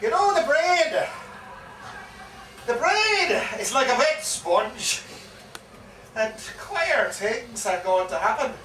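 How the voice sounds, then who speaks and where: An actor playing an excitable Irish pub yarn-spinner, theatrically repeating himself with relish in a live comic stage monologue. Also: male voice, very high voice, slow, pauses often, heavily acted. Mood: rambling, confiding. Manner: theatrical, repeats phrases with relish and dramatic emphasis. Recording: echoey live pub recording, distant mic, faint noise.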